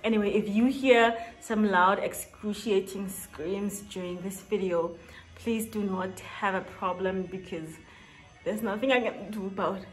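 A woman in her late twenties talks unhurriedly straight to camera from a small room at home. Her chat is casual and personal, with frequent laughs and drawn-out words for emphasis. She sits at middle pitch and keeps an easy, leisurely pace. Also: fairly even intonation, soft background music, poor audio. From South Africa. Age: 20s. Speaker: YouTuber. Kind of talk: casual get-to-know-me vlog. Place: small indoor room with shelves.

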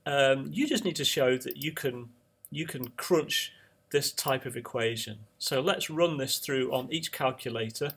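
A man teaching audio engineering, talking through each calculator step in a relaxed screen-recorded tutorial. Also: medium pitch.

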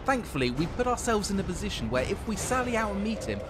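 Male gameplay commentator, higher-pitched and lively, with a tongue-in-cheek delivery. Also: fast, background noise. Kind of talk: playful strategy game commentary, partly scripted narration. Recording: voice-over with background music. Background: music.

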